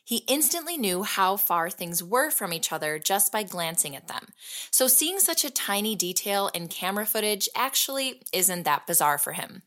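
An investigative podcast host, narrating an audio fiction like a documentary. Her pitch is medium and very lively, and her delivery is explanatory and conversational. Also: moderate pace. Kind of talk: scripted documentary-style narration.

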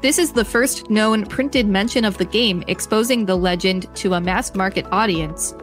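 A woman with an average-pitched voice narrates an encyclopedia-style article as one host of a podcast. She reads from a script and can slip into brief casual remarks. Soft music sits faintly underneath. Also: normal speed.

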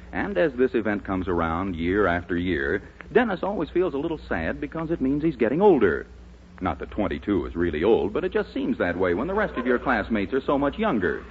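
A man's voice: lowish voice, big pitch swings, a little fast. A male announcer from 1940s radio, reading scripted copy with hugely varied intonation. The old recording is dull, with faint noise.

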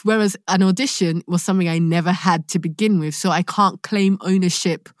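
A woman with a London accent in casual conversation, telling a personal story in a candid, expressive way. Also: fairly low pitch, fairly quick, no echo, studio quality. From born in Nigeria, raised in London. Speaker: guest, actor.

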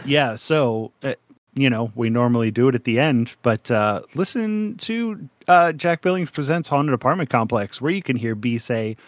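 A man's voice: mid-range voice, animated, normal speed, dry recording. A young man co-hosting a podcast in casual chat, jokey and rambling, laughing often. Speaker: podcast co-host.

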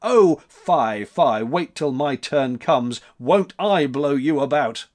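A man's voice: mid-range voice, animated, slow pace. A man voicing a blustering, scolding male spirit of a month in a storybook reading. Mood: grumbling threats. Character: March, blustering wild-haired month spirit, grumbling and threatening.

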